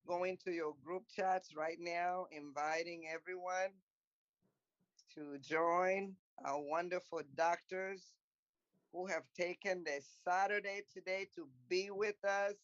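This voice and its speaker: A woman's voice: lowish voice, steady tone, slow, no echo, highs cut off. A woman from Congo whose first language is French, hosting and moderating an online panel in a chatty, encouraging way and thinking aloud as she types. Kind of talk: casual hosting of an online talk.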